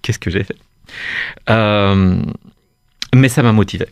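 A man, a guest in a radio interview, speaking hesitantly with many restarts and soft laughs. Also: fairly low pitch, slow pace, clean tone, no room sound, clean studio sound. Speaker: guest, cultural institute staff.

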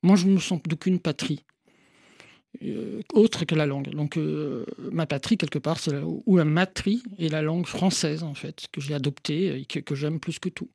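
A woman from Portugal speaks in French, her shaping her vowels. She answers as an author guest in a radio interview, with a slight fry in her voice and a dry, close sound. She talks in a hesitant, searching way, with frequent restarts and fillers. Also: low-pitched, normal speed, darkish tone, no echo. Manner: hesitant, restarts often, many "en fait" fillers.

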